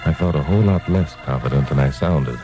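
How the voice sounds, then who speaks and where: A deep-voiced American radio sleuth, wry and cocky. He talks in breezy hard-boiled slang, like an old detective serial, with music running clearly behind him. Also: a little fast. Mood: wry narration.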